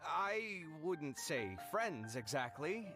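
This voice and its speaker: An actor playing a flustered, touchy but friendly young man in a video game, in a theatrical, expressive manner. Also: on the high side, slow pace, full character voice. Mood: embarrassed. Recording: game audio with music underneath.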